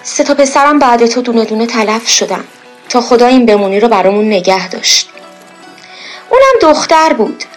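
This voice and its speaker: A woman's voice: fairly high pitch, animated, soft background music, lightly acted, rough recording. A woman playing a proud, fretful middle-aged village mother, folksy and lamenting, in a period radio drama. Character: proud, fretful village-born mother, khan's daughter, doting and scolding.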